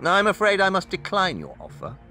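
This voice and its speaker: An actor playing a shrewd, self-assured detective with a clipped, didactic manner in an audio drama. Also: male voice, high-pitched, huge pitch swings, a little fast, pushed and bright, soft background music, heavily acted. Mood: dry, unruffled.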